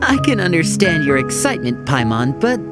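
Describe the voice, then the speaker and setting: Gentle, animated young game character. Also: female voice, low voice, brisk. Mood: amused. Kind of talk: video game voice acting. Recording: music behind the voice.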